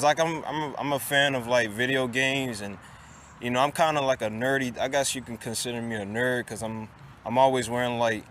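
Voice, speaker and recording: A man's voice: medium pitch, a bit bright, slight background noise. Rambling young man in his twenties. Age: 20s. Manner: rambling, halting, with many restarts and fillers. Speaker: vlogger. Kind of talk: casual monologue to camera.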